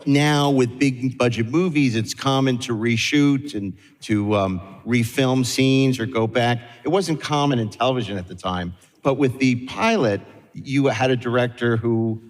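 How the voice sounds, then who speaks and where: A man's voice: medium pitch, measured pace. A man casually moderating a live interview as host. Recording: echoey hall with long reverb.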